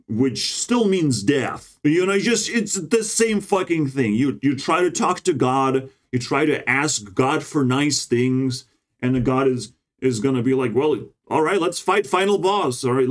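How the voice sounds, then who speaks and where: A man in a rambling casual monologue who swears often, ends many phrases with "bro", and keeps jumping between high and low pitch. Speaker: vlogger.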